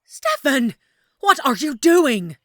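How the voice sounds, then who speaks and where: A woman's voice: high-pitched, very animated, slow, pushed and bright, no room sound, clean studio sound, full character voice. A woman playing an imperious, scheming deposed queen in a fantasy audio drama, speaking in a haughty, formal, theatrical way. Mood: furious commanding. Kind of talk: fantasy audio roleplay acting.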